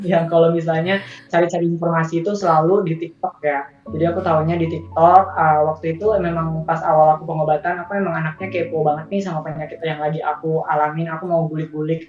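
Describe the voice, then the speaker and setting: A woman speaks in a low, fairly flat voice, casual and earnest, like an interview guest encouraging friends. The sound is echoey and a little dull, set back from the microphone, with music behind. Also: far from the mic. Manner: earnest, informal, addressing viewers as friends. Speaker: interview guest, patient sharing their story.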